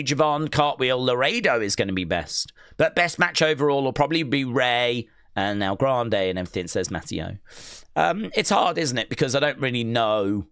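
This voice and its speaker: A man's voice: medium pitch, a bit bright, dry recording, clean studio sound. A man hosting a live stream, chatting casually and reading out viewer comments, with many fillers and restarts. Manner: rambling, lots of fillers and restarts. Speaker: streamer hosting a wrestling watch-along.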